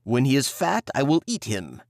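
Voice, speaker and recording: A man's voice: mid-range voice, huge pitch swings, moderate pace, creaky, darkish tone, dry recording, studio quality. A man voicing a wicked, mocking old female witch in a storybook reading. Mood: cruel.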